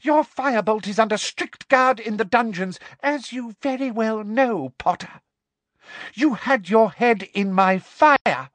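Man putting on an imperious, shrill, high-pitched woman official. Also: expressive, measured pace, a bit bright, dry recording. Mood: furious, commanding. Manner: sneering, formal, overbearing. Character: imperious, cruel female school official, gloating bully.

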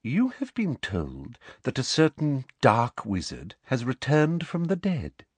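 A man's voice: mid-range voice, huge pitch swings, slow, breathy edge, no room sound, pitched above the performer's own voice, heavily acted. A man voicing a smug, sickly-sweet middle-aged female teacher from a storybook, with a honeyed trill and a precise, dismissive manner. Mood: stern, smug. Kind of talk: audiobook character voice.

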